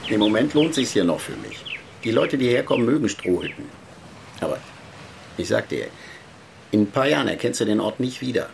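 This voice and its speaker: A man's voice: fairly low pitch, very animated, brisk, creaky, long pauses, a little hiss or hum. A man playing an easygoing, frank cantina owner, talking offhand in naturalistic radio drama dialogue. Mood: offhand, resigned. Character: easygoing, drifting male owner of a beach cantina.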